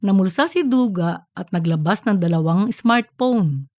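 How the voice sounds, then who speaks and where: A woman's voice: mid-range voice, animated, measured pace, warm tone, no room sound. Woman narrating a comic audiobook, dry sound. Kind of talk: scripted narration of comic fiction.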